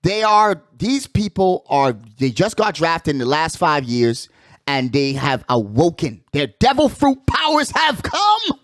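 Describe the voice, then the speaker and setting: A man's voice: fairly high pitch, big pitch swings, a little slow, fairly bright tone, dry recording, clean studio sound. A man hosting a sports show with casual, slangy commentary, hyping his points and repeating phrases for emphasis. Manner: slangy, hype-man delivery with frequent check-in phrases.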